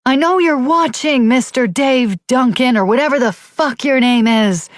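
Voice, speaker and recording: Woman reader as enraged young cop, high shouted voice, raw. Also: a little slow, no echo, clean studio sound. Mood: furious. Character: young woman cop yelling in terror and rage.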